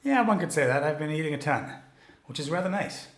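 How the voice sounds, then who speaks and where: A man co-hosting a podcast in casual chat, relaxed and wry, with frequent fillers. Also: on the high side, brisk, reverberant. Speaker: podcast co-host.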